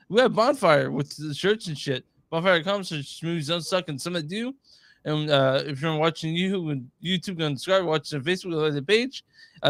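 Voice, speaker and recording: A man's voice: a little high, a bit bright, dry recording. A man co-hosting a podcast, chatting casually and informally with some swearing. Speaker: podcast co-host.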